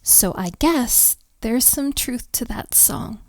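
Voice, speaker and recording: Actress as exasperated hotel maid, female, highish voice, sarcastic and defensive, sighing, romantic audio roleplay, close dry sound. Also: lively intonation, slow pace, no echo, whispered. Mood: bitter, scornful. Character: flustered, defensive, overworked young hotel maid, sharp-tongued.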